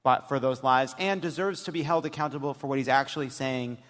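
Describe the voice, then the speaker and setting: An American man hosts a live political panel show. He speaks into a stage microphone, and his voice carries the reverb of a large hall. His pitch is ordinary for a man. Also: fairly quick, a bit bright. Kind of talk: animated political panel talk to an audience.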